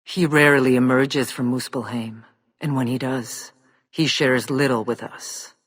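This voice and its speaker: An actor voicing a proud, defiant giant lord in a video game, with a theatrical, measured and scornful delivery. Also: male voice, medium pitch, steady tone, fairly bright tone, dry recording. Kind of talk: dramatic video game voice acting. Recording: clear background noise.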